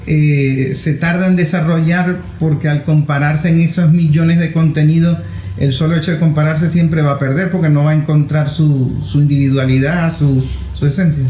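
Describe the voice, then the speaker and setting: Mellow male interviewer. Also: a little high, fairly even intonation, moderate pace. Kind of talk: interview questions with long premises. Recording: roomy echo.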